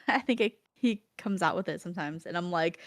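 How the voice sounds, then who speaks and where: A woman's voice: on the low side, normal speed. A young woman from Connecticut chatting casually while streaming, rambling through stories and laughing often. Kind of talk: casual chat while gaming.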